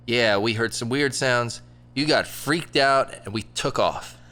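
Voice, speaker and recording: A man's voice: medium pitch, unhurried, pushed and bright. An actor playing a casual, slangy young man in a band, in an audio drama. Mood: worried. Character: laid-back young male band member.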